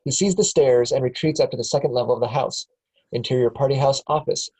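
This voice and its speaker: A man's voice: medium pitch, quick pace, warm tone, breathy edge, low-quality recording. A man reading the stage directions aloud at a script table read, plain with small chuckles.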